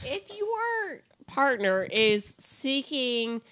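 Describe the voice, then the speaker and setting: A woman with a high, lively voice co-hosting a comedy podcast. She talks slowly, laughing along as she pulls the chat back on topic. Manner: laughing, steering back to the question. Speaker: podcast co-host.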